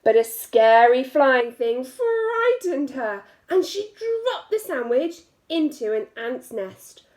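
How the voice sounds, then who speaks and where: A woman's voice: sits high, very animated, slow pace, a little mellow, rough recording. A woman reading a picture book aloud to young children as a teacher, expressively stretching key words and adding little asides to the listeners.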